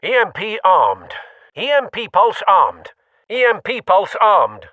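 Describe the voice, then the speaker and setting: A man voicing a dry-witted, composed older butler in a video game, formal and measured with a husky voice. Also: high voice, huge pitch swings, no echo, phone-quality sound, full character voice. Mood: alert.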